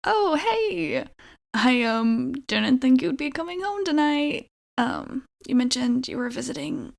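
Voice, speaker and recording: A woman playing an awkward, nervous young vampire roommate in an ASMR roleplay, halting and rambling apologetically. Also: high-pitched, expressive, vocal fry, exaggerated voice. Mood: flustered, panicked.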